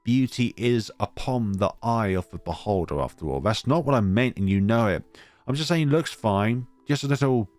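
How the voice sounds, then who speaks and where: Deep-voiced man reading with lively pitch swings. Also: normal speed, no echo. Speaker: let's-play narrator. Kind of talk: reading a visual novel aloud with commentary.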